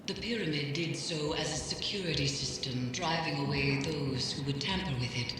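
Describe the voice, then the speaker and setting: A woman's voice: deep voice, background noise, lightly acted. Actress as a grave mystic woman in cavernous reverb. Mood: grave warning. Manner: measured, grave, formal delivery. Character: solemn, cryptic mystic woman advising a warrior. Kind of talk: dramatic scripted game dialogue. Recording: cavernous long reverb, loud background ambience.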